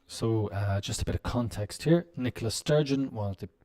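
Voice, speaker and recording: A man teaching business English on a live stream, explaining slowly with many "um"s and "uh"s. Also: lowish voice, dark tone, soft background music. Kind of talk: live-stream language lesson.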